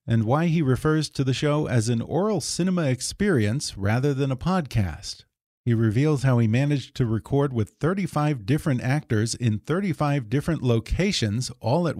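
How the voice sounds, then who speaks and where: A man's voice: medium pitch, darkish tone, no room sound. A man working as a podcast host, reading a scripted introduction into a close microphone.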